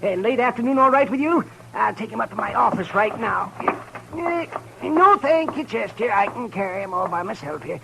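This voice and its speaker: An actor playing a greedy, ghoulishly cheerful frontier doctor, chatty and gleeful, in a 1950s radio drama. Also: male voice, high-pitched, expressive, moderate pace, vocal fry. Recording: noisy old radio broadcast recording.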